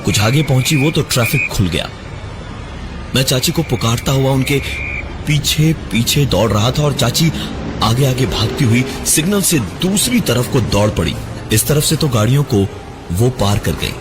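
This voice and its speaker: A man narrating a scripted first-person horror story in a dramatic, halting, suspenseful manner. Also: mid-range voice, expressive, over music. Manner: dramatic, halting delivery with suspenseful breaks and repeated words.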